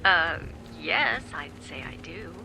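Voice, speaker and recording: A woman's voice: on the low side, expressive, slow, creaky, bright, forceful tone, heavily acted. An actress playing an irritated, icily dismissive young woman in a radio drama, clipped and sarcastic. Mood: wary, annoyed.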